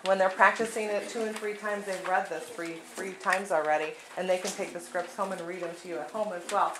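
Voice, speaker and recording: A woman's voice: on the low side, fairly even intonation, fairly quick. A woman leading a reader's theater group, casually addressing the audience in a joking, encouraging way. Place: indoor room at a library. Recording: echoey room with loud crowd noise.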